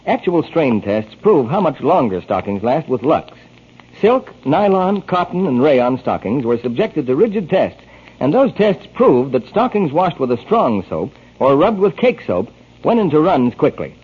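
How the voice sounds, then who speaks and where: Old time radio ad man, husky voice, scripted pitch, dull 1940s recording. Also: very animated, normal speed. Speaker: radio commercial announcer.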